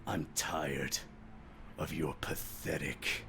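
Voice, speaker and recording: A man voicing a huge, taunting god of war with a booming voice in a tabletop game. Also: steady tone, slow pace, bright, forceful tone, husky, full character voice. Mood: cold contempt.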